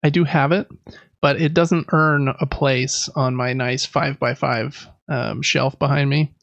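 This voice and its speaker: A man's voice: mid-range voice, fairly even intonation, measured pace, gravelly, a bit bright, dry recording, muffled recording. A man in his thirties talking casually and explaining things as a podcast co-host. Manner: conversational, explanatory. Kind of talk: casual chat about board games.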